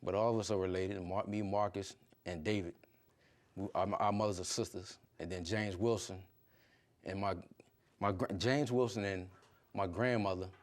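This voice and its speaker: A man's voice: low voice, steady tone, normal speed. A middle-aged man from Virginia, a football coach chatting casually as an interview guest in colloquial speech. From Virginia, United States. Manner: colloquial, peppered with "you know". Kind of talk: casual chat.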